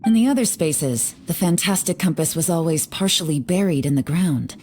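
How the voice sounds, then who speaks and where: A woman playing a cool, composed, shrewd investigator in a video game, measured and self-assured, with faint ambience behind. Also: fairly low pitch, lively intonation, a little mellow, a little hiss or hum, natural voice. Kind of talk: video game cutscene acting.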